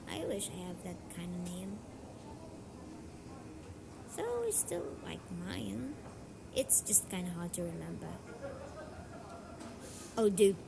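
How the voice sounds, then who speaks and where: A woman's voice: medium pitch, noisy background, lightly acted. A woman playing a brash, teasing, slangy college delinquent girl in a roleplay audio drama, sweary and playfully defensive.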